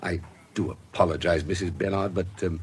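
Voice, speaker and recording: An actor with a British accent playing an urbane, shrewd medico-legal investigator in a radio drama, speaking with courteous, measured formality. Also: male voice, sits low, steady tone, slightly rough. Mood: smooth, purposeful. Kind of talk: period radio drama acting.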